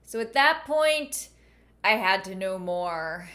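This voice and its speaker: A woman giving conversational video commentary in a wry, deadpan manner. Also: medium pitch, slow pace. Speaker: video essayist and host.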